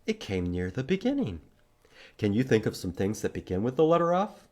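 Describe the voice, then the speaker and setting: A man hosting a children's storytime, reading a picture book and teaching letters in simple, expressive language with questions for young listeners. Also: medium pitch, a little fast. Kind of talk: children's storytime read-aloud and letter lesson.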